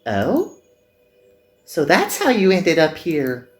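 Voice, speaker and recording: A man voicing an earnest, gentle young magical girl in a visual novel, pleading and sincere. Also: fairly high pitch, huge pitch swings, slow pace, echoey room, soft background music, pitched above the performer's own voice, full character voice. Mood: curious. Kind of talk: visual novel character dubbing.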